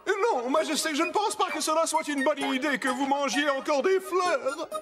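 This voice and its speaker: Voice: high voice, normal speed, music in the background, lightly acted. A man playing a deferential, hesitant royal servant in a children's cartoon, politely addressing his monarch. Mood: deferential, worried. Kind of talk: animated children's cartoon dialogue.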